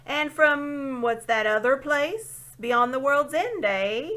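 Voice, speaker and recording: A woman voicing a pompous, hard-of-hearing elderly dwarf lord in a storybook, booming and emphatic. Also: lively intonation, slow, pushed and bright, exaggerated voice. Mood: amazed, questioning. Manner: booming, emphatic, repeats himself, querying "eh?".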